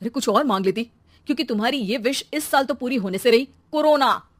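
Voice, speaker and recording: A woman voicing an irritable, teasing office-working husband and father in a cartoon, with a quick, complaining manner. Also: fairly high pitch, no echo, close to an everyday voice.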